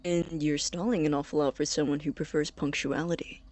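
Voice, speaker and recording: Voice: mid-range voice, level tone, fairly quick, a little mellow, lightly acted. An actor playing an earnest, awkward young man in audio drama dialogue, speaking politely and hesitantly. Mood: amused.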